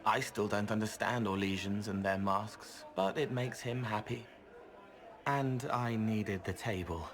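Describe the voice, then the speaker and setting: Actor as gentle, guileless young spirit, crowd behind. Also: male voice, on the low side, fairly even intonation, measured pace, lightly acted. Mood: innocent. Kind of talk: video game dialogue acting.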